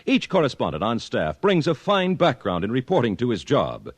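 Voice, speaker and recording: A man's voice: medium pitch, huge pitch swings, normal speed, breathy edge, dry recording. An American man reading scripted copy as a polished, formal 1950s radio announcer.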